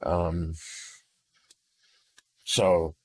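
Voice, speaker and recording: A man recording an unscripted personal audio log in a weary, downcast, introspective way, halting and often repeating words as he restarts sentences. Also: low-pitched, flat delivery, darkish tone, breathy edge, no room sound. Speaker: audio diarist.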